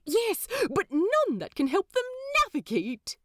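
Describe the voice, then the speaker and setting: A woman's voice: sits high, huge pitch swings, a little slow, fairly bright tone, dry recording, clean studio sound, pitched above the performer's own voice, heavily acted. A woman voicing an earnest, easily indignant male angel in a story, with a hesitant, fussy, stammering manner. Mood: exasperated, earnest.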